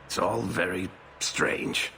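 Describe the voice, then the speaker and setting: A man's voice: sits low, takes it slowly, gravelly, bright, forceful tone, in a large hall, a little hiss or hum, heavily acted. A man playing a wry, glib dwarf rogue in a video game cutscene, talking in a sardonic, conversational way. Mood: wry, intrigued. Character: wry dwarf rogue, adult male storyteller with criminal contacts.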